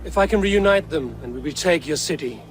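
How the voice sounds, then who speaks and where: An actor voicing a steady, blunt Viking wanderer in a video game cutscene, talking in a calm, direct way. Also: male voice, a little high, fairly quick, natural voice. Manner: calm, direct and plain-spoken.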